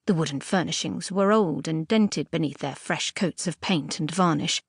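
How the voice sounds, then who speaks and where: A woman reading a storybook fairy tale as a scripted audiobook narration, expressively and a little primly, with dramatic emphasis and pauses. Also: low-pitched, slightly rough, no echo. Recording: dry close studio audiobook recording.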